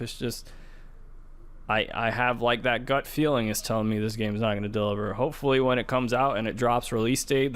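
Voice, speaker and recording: A man co-hosting a gaming podcast, chatting casually in a measured, skeptical way. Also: medium pitch. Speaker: podcast co-host.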